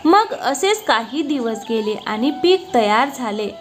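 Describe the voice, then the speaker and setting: A female storyteller narrates a cartoon fable from a script. Her voice sits fairly high, with faint music underneath. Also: sing-song, unhurried.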